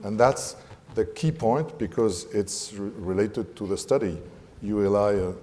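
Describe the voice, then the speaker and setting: A man with a French accent talks through a panel discussion, pausing often to find the English word. His voice sits low and mellow. It carries the long reverb of a conference hall. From France. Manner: halting, searching for English words.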